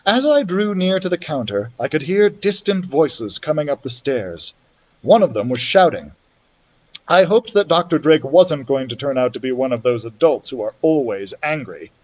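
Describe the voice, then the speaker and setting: A man's voice: fairly high pitch, big pitch swings, moderate pace, a little mellow. A man reading a children's story aloud expressively, as a library storyteller would.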